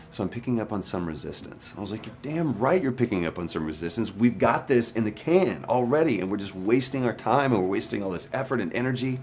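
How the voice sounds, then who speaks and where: Very deep-voiced male storyteller over music. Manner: animated storyteller, acts out quoted dialogue. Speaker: actor. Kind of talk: personal anecdote on stage.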